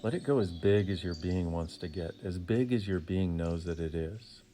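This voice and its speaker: Deep-voiced man guiding a meditation. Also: music in the background. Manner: soothing, deliberate, with short phrases and long pauses. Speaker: meditation guide. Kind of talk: guided meditation.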